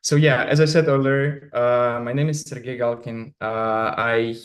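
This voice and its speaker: A man from Russia with a Russian accent, a native Russian speaker giving a conference talk in English over a video call, halting and filling his speech with many "uh"s and "like"s. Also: medium pitch, fairly even intonation. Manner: halting, many fillers and restarts. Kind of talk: remote conference presentation.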